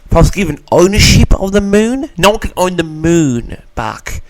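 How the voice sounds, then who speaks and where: A man's voice: sits high, very animated, normal speed, slight background noise. A man hosting a casual audio log, reading out audience questions and answering them in a theatrical, mock-grand manner.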